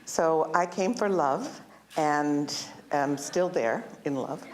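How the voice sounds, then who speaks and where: A woman in her sixties from Southern California, speaking on a panel in a relaxed, lightly humorous way. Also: low voice, takes it slowly, a little hiss or hum, rough recording.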